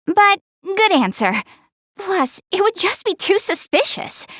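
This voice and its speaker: A woman's voice: extremely high pitch, very animated, slow pace, a bit bright, no room sound, clean studio sound, exaggerated voice. A woman voicing an earnest young goddess girl in an anime game, proud but shy, speaking to the player in a self-conscious, slightly defensive way. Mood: earnest, thoughtful. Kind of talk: English anime game voice acting, addressing the player.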